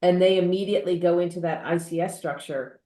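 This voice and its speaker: Female panelist, slightly low voice, conversational, echoey room sound. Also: fairly even intonation, fairly quick, dark tone. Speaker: podcast panelist. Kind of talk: casual discussion. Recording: roomy, echoing sound.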